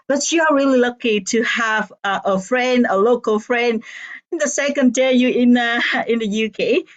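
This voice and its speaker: A woman hosting an interview, asking unhurried questions and murmuring agreement. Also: medium pitch. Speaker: interviewer host. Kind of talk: casual interview chat. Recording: dull lo-fi sound.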